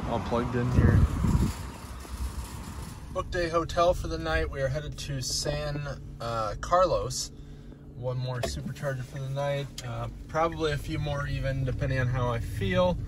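A man's voice: medium pitch, a little slow. A young American man narrating a casual road-trip vlog in a matter-of-fact way. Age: young adult. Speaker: vlogger. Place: in a car and outdoor parking lots. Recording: noisy car and outdoor ambience.

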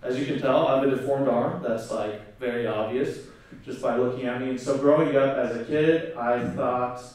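A man's voice: medium pitch, fairly even intonation, a little mellow, recorded from a distance. A man in his twenties giving a personal testimony on stage, speaking earnestly with frequent fillers into a handheld mic. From South Korea, raised in Oklahoma. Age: 20s. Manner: earnest, many fillers and pauses. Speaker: conference speaker. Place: large hall on stage. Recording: echoey hall, recorded from afar.

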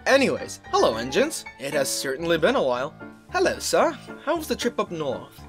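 A man voicing a courteous, brisk and sly railway owner. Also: a little high, huge pitch swings, a bit bright, faint music behind, voice pushed up. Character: polite scheming male railway owner.

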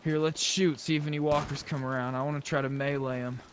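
A man's voice: medium pitch, flat delivery, quick pace, a little hiss or hum. A man chatting casually with other players during an online zombie survival roleplay game.

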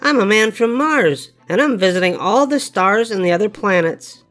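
Older guy doing a spirited little storybook boy, high voice, swoops around, protesting, faint music. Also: lively intonation, moderate pace, fairly bright tone. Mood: playful.

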